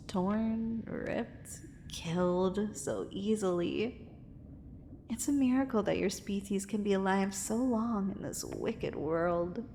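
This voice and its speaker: A possessive mermaid, acted by a woman, speaks in a long, cavernous reverb over faint noise. Her delivery is very slow, formal and coaxing. It is an intimate ASMR romance roleplay, tender with a dangerous edge. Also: mid-range voice, mellow. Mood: protective, possessive.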